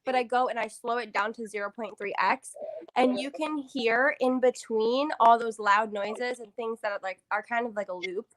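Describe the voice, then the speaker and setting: A woman guest on a paranormal livestream, talking in an animated, rambling way full of "like" and "so", joining over her phone on a video call. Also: on the high side, normal speed. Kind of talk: casual chat.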